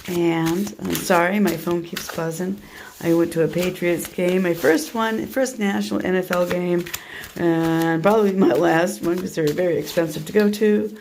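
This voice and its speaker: A hoarse woman chatting through a tarot card reading, soft and meandering as she shuffles and lays out cards. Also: lowish voice, moderate pace, a little hiss or hum. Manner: soft, meandering, half to herself while handling cards. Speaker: tarot reader. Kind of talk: casual tarot reading monologue.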